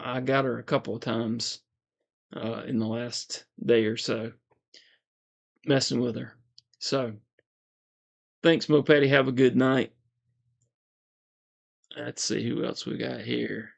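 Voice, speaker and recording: Calm, gravelly man chatting. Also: mid-range voice. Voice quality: calm, soothing voice. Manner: relaxed, meandering, frequent fillers and soft chuckles. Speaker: livestream host. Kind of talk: casual livestream chat reading comments.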